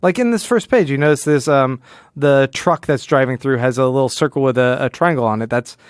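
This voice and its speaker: A man's voice: mid-range voice, brisk, clean tone, no echo, clean studio sound. A man co-hosting a podcast, talking casually and analytically, often rephrasing himself as he explains. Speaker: podcast co-host.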